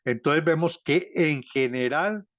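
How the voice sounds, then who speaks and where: Slow, hesitant male researcher presenting. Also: a little high, a bit bright, no echo, lo-fi sound. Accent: Colombian Spanish. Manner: slow and deliberate, frequent hesitations and self-corrections. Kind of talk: academic presentation.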